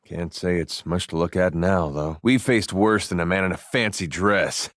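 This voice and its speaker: An actor voicing an easygoing, good-hearted farmer turned adventurer in a video game, with a folksy, dryly funny delivery. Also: male voice, low voice, moderate pace, dry recording. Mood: amused, casual. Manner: folksy, laid-back, dry deadpan humor. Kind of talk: video game character dialogue.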